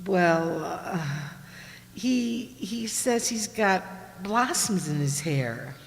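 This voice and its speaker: A woman's voice: lowish voice, expressive, very slow, gravelly, a little hiss or hum, full character voice. A woman playing a reserved, hesitant housewife in an amateur radio play. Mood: hesitant, uneasy. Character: reticent, faintly troubled housewife shopping for groceries. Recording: echoey hall, long reverb.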